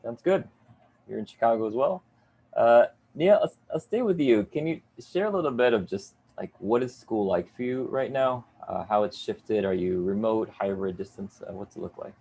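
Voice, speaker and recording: A man's voice: lowish voice, expressive, moderate pace, gravelly, slightly rough. A middle-aged man moderating an online panel from a home basement over a video call, upbeat with many "uh" fillers. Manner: upbeat, warm in addressing guests, frequent "uh" fillers.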